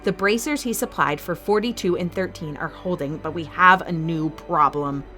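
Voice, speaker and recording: A woman's voice: fairly low pitch, normal speed, bright, forceful tone. A woman acting as a calculating, ruthless fight-arena master in an audio drama, speaking in a formal, clipped, authoritative way. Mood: cold, commanding. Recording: music bed under the voice.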